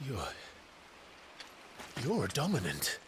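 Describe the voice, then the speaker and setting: An actor playing a stern, driven young warrior in a video game, terse and serious. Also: male voice, medium pitch, huge pitch swings, slow pace, a little hiss or hum. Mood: guarded, probing. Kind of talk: dramatic video game acting.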